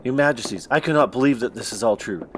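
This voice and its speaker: An actor playing an earnest, formal member of parliament in an audio drama. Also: male voice, mid-range voice, fast talker, a bit bright, a little hiss or hum, lightly acted. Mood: shocked.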